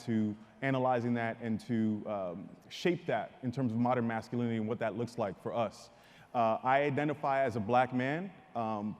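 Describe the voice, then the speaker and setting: A man's voice: medium pitch, a little mellow. A man from the Dominican Republic speaking earnestly as a panelist at a live panel discussion. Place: large hall, live audience. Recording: reverberant hall.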